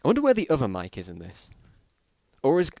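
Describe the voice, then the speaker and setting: Male narrator, middling pitch, dry close sound, dramatic reading with exasperated, self-deprecating commentary. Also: wildly swooping pitch, quick pace, creaky, darkish tone, no echo. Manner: self-mocking, exasperated at the writing. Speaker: reader of own story. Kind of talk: dramatic reading with joking asides.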